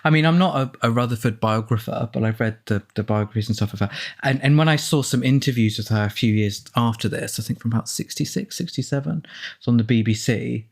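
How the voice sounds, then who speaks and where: Male commentary guest, middle pitch. Conversational, discursive, hesitant, restarts sentences mid-thought. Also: fast talker, vocal fry. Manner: hesitant, rambling, self-interrupting. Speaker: author and film commentary guest. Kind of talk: casual film commentary chat.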